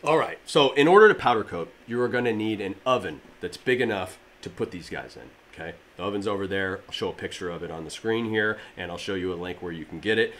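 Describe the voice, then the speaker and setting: A man in his thirties with a slightly raspy voice, casually explaining a DIY project to camera in his home garage gym, with plenty of fillers. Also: low-pitched, quick pace, hardly any pauses, a bit bright. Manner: rambling, filler-heavy, friendly explainer. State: allergies. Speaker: fitness DIY channel host. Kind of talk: casual how-to talk to camera.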